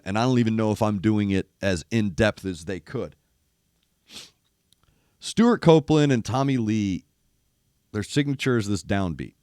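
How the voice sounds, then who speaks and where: An older man, a former rock radio DJ, hosts a music reaction show. He is losing his voice and sounds gravelly, speaks emphatically, and mimics drum hits while talking. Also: fairly low pitch, dry recording, studio quality. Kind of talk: casual music commentary. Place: studio in east Nashville.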